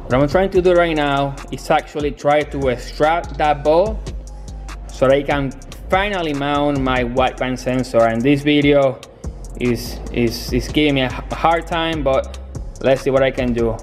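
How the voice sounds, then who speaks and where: A young man talking casually in a garage workshop, filming himself on a handheld camera, halting and restarting as he explains his car work. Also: mid-range voice, faint music behind. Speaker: car builder.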